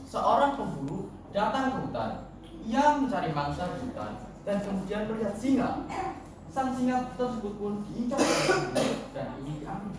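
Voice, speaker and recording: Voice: mid-range voice, sing-song, normal speed. A contest storyteller narrating a tale in a theatrical, declamatory way. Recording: echoey hall, distant mic, noisy.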